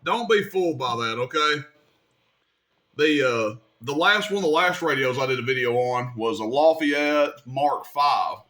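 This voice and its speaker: A man's voice: medium pitch, unhurried, a bit bright. A man giving a casual hands-on equipment demonstration, explaining things step by step in an informal, folksy way. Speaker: radio repair video host demonstrating gear.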